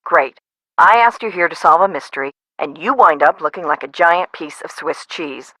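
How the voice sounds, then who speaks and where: A frazzled park boss lady for a video game, bright crisp edge, dry delivery, super close clean sound. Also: deep voice, animated, moderate pace, no room sound, heavily acted, low-quality recording. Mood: exasperated, sarcastic. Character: frazzled, no-nonsense woman amusement park boss. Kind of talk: scripted video game voice acting.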